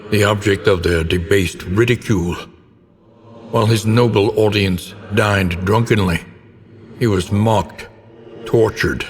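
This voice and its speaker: A brooding older male narrator, played by an actor tells dark gothic game tales in a low, weighty register. The delivery is slow, ominous and ornate, with a theatrical solemnity. The voice rings in a long reverb over faint background noise. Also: natural voice. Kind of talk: theatrical scripted gothic storytelling.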